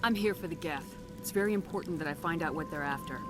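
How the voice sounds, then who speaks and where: A woman's voice: sits low, fast talker, lightly acted. A woman playing a resolute, protective military commander in a video game, firm and businesslike. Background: music.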